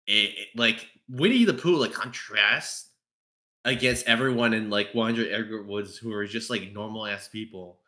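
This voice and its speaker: A man chatting casually on a podcast, talking in a halting, rambling way and often repeating and restarting phrases. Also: fairly low pitch, bright, forceful tone. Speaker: podcast panelist and fandub voice actor. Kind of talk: casual chat.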